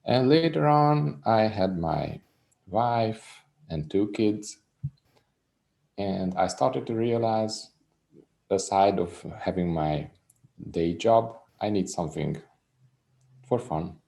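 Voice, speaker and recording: Slow, gravelly Hungarian-accented man. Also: on the low side. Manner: reserved, soft-spoken, unhurried. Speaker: musician guest. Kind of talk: casual interview chat.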